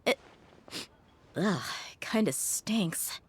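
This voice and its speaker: A woman's voice: medium pitch, animated, drawn-out words, slightly rough, heavily acted. An actress playing a tough, unsentimental young female mercenary in a video game, frank, casual and dry. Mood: puzzled, wry.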